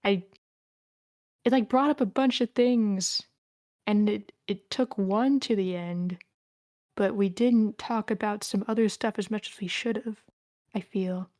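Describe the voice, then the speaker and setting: A woman's voice: mid-range voice, unhurried, darkish tone, no echo, clean studio sound. A woman sharing opinions in an informal group discussion, conversational and a little tentative. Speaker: participant giving script feedback.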